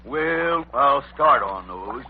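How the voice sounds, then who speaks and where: An actor playing a boastful, blustering cowboy with a husky voice and an American Western drawl, in 1940s radio comedy style. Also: male voice, mid-range voice, animated, slow pace, slight background noise. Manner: folksy, loud bragging. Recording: tinny, band-limited old radio recording.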